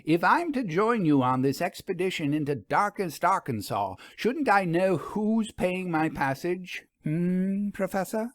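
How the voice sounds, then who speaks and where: A woman's voice: on the low side, sing-song, measured pace, few pauses, no room sound, clean studio sound, voice pushed up. A man voicing a persistent, probing woman newspaper reporter in a storybook reading.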